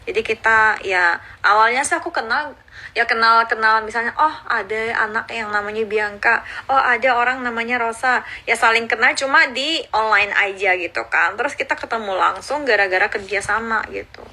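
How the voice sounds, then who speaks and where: A woman in her thirties who grew up in Indonesia, chatting casually on a phone livestream and answering viewer comments, cheerful and quick to laugh. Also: on the high side, pushed and bright. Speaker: livestreaming YouTuber. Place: outdoors or at home in Seoul.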